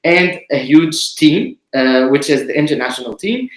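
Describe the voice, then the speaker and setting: A man from Tunisia hosting an online presentation, explaining in a measured way with frequent pauses. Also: fairly high pitch, lively intonation. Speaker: webinar host, committee director. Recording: roomy echo.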